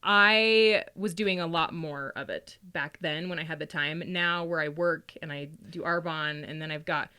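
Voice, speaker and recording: A woman's voice: low voice, a little slow, hardly any pauses, pushed and bright, smooth. A woman chatting casually and informally as a guest, explaining things in a loose, talkative way. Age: adult, parent. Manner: chatty, informal, frequent laughing asides. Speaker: guest, tarot and astrology reader.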